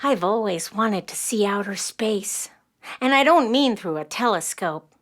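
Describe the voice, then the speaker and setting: A woman's voice: mid-range voice, unhurried, lightly acted. A woman voicing an eager, brainy, curious nine-year-old girl in a children's audio play. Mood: curious, earnest. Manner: excitable, stammering when startled.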